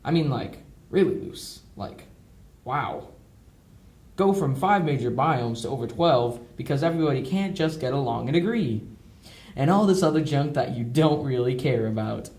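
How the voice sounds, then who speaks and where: A man's voice: mid-range voice, lively intonation, normal speed, a little mellow. A young man reading scripted educational narration with jokey asides, recorded in a roomy, amateur way.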